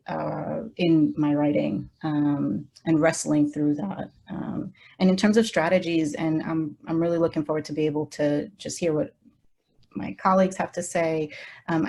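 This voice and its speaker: A woman's voice: deep voice, gravelly, warm tone, slight background noise. A woman whose first language is Spanish, speaking in a reflective, hesitant way with many fillers during a roundtable discussion over a video call. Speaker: faculty member.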